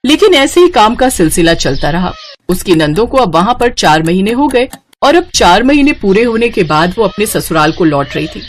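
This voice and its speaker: A woman's voice: medium pitch, big pitch swings, normal speed. A woman narrating a scripted moral family story.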